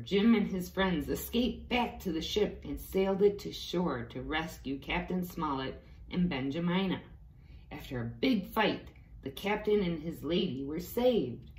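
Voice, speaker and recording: A woman reading a storybook aloud to young children, expressively, with little explanatory asides. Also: sits low. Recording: echoey room with faint background noise.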